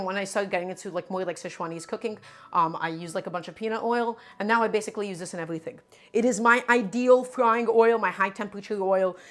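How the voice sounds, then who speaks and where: A woman whose first language is Russian, hosting a live cooking stream from her home kitchen. She explains each step in a chatty, teaching way. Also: medium pitch. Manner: chatty, instructive, often says "my friends".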